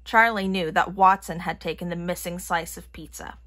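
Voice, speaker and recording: A woman reading a children's picture book aloud in an expressive manner. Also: on the low side, moderate pace, a little hiss or hum. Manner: expressive, acting out characters' lines. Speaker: storybook reader.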